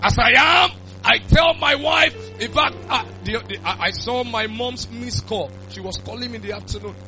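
A man, preaching a sermon with loud bursts of emphasis. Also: very high voice, moderate pace. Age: 20s. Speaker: pastor. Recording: dull lo-fi sound with music.

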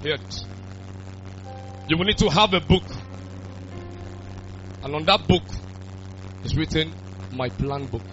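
A young pastor preaches in a bright, high voice that leaps up and down in pitch. He swings from quiet talk to shouted emphasis. The recording is dull and lo-fi, with faint music playing beneath him. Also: lively intonation, slow, long pauses. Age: 20s. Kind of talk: sermon.

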